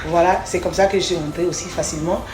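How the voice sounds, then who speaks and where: A woman's voice: on the low side, fairly even intonation, fast talker. Woman singer in an echoey room. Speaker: singer being interviewed. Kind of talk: casual interview, personal storytelling.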